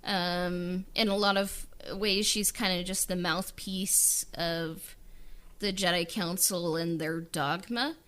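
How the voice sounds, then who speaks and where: A woman's voice: fairly low pitch, steady tone, takes it slowly, fairly bright tone. A woman co-hosting a podcast, talking in a rambling casual way with many fillers about writing an audio drama. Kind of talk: casual behind-the-scenes chat.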